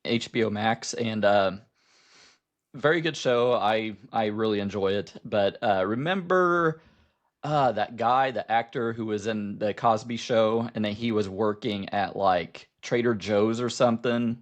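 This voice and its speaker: A man co-hosting a podcast, chatting casually about film and TV in a halting, rambling way. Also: fairly low pitch, lo-fi sound. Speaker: podcast co-host.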